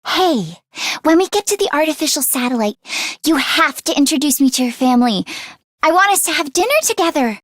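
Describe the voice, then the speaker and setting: A woman voicing an earnest, anxious teenage girl mechanic in an anime-style video game, expressive and shifting between timid and sassy. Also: sits high, a little fast, no echo, studio quality. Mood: soft, affectionate. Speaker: voice actress.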